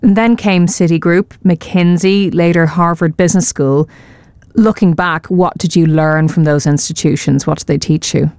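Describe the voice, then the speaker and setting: Low-voiced woman interviewer, crisp and dry. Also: steady tone, normal speed, darkish tone, dry recording. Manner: direct, prompting questions. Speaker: interview host. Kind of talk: interview questions.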